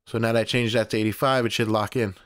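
A man presenting a casual step-by-step software tutorial in a plainspoken, informal, instructional way. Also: on the low side, little pitch movement, quick pace, no room sound.

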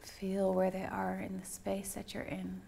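Creaky-voiced woman guiding a meditation. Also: medium pitch, monotone, a little hiss or hum. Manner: soothing, unhurried, gently instructing. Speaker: meditation guide, yoga instructor. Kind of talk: guided meditation.

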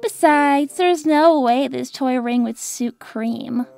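A bossy, teasing young cartoon animal girl played by a woman. She speaks in a high voice at a measured pace, haughty and pointed. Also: gravelly, a little mellow, soft background music, full character voice. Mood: smug. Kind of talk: cartoon comic dub.

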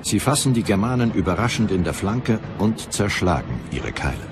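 A man narrating a scripted historical documentary in a measured, serious and dramatic manner. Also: fairly low pitch, very animated. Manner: measured, serious, dramatic present-tense telling. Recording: music behind the voice. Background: music bed.

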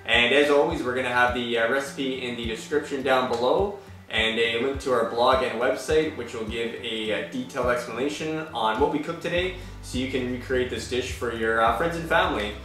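A friendly man in his thirties from Canada co-hosts a cooking show. He hesitates often and fills his pauses with "uh". He sounds distant, with a strong room echo across a large kitchen. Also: medium pitch, a bit bright. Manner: friendly, hesitant with many "uh" fillers. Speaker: co-host. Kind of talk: casual cooking show presenting. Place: large home kitchen, indoors. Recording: roomy, distant sound with light music.

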